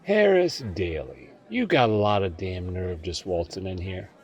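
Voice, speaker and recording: An actor playing a hostile, sarcastic mob door guard with a husky voice in a 1940s radio parody. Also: male voice, low-pitched, lively intonation, measured pace, darkish tone, highs cut off. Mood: hostile, jeering. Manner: sarcastic, belligerent.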